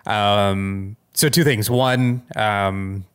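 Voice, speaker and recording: A man co-hosting a podcast in casual chat, thinking aloud in a tentative, halting way. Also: on the low side, steady tone, a bit bright, smooth. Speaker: podcast co-host.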